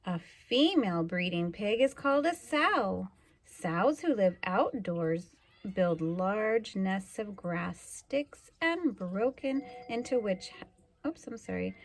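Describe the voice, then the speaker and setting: A woman's voice: medium pitch, sing-song, slow. A woman reading a children's book aloud expressively, adding chatty asides to young listeners. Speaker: storybook reader.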